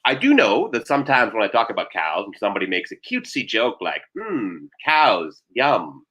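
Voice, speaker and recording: Animated, bright-voiced man with wry delivery. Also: on the low side, quick pace. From Trinidadian. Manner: wry, irreverent. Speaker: playwright and performer, interview guest. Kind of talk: animated solo storytelling and casual chat.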